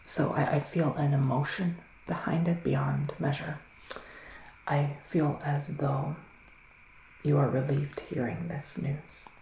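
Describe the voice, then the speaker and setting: A man playing a gentle psychic witch in an ASMR roleplay, speaking in a soft, musing whisper with long pauses. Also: on the high side, flat delivery, gravelly, roomy sound, a little hiss or hum, poor audio. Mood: hushed, musing. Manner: slow, hushed, musing, with drawn-out pauses. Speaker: ASMR performer giving a psychic reading.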